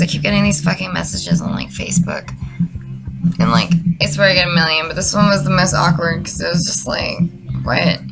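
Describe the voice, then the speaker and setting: A woman's voice: fairly low pitch, vocal fry, poor audio. A woman in her twenties giving casual comedic commentary, rambling, sweary and sardonic with an exasperated manner. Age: 20s. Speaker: video creator.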